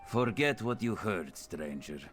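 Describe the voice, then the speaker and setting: A wary male official of a wizard's tower in a fantasy game. His voice is deep, his delivery formal and drily sardonic, over faint music. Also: expressive, a little slow, a bit bright. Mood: dry, guarded. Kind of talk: scripted fantasy video game dialogue.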